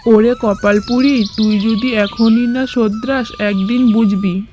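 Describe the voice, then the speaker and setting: A woman's voice: on the high side, steady tone, slow pace, warm tone, lo-fi sound. A woman voicing a stern older village woman who scolds and warns in a cartoon moral story. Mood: scolding. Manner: scolding, admonishing. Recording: music behind.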